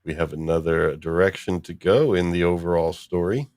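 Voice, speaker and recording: Guy with a deep voice hosting a podcast, chatting casually, kinda halting as he thinks. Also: a little mellow, no room sound. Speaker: podcast host. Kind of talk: casual discussion of a story.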